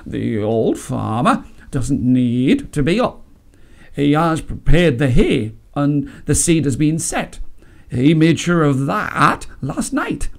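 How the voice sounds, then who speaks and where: A man's voice: a little high, sing-song, slow pace, creaky, heavily acted. A man voicing an anxious old father cockerel, pleading earnestly in a storybook tale.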